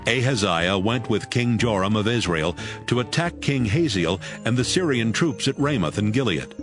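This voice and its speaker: A man's voice: lowish voice, animated, moderate pace. A man reading scripted narration for a dramatized audio Bible. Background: music.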